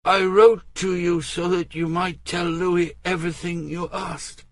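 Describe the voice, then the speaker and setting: A man's voice: sits high, little pitch movement, a little slow, dry recording, studio quality, pitched above the performer's own voice. A man reading aloud voices a frail, desperate young imprisoned queen, pleading in a raised, breathless voice.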